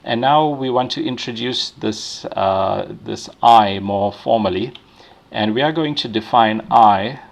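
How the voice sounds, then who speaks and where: Man, lecturer, low gravelly voice, thinks aloud, restarts sentences, keeps asking "right?". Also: unhurried. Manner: explains step by step, often checks in with "right?"; frequent fillers and restarts while thinking aloud. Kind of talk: university lecture.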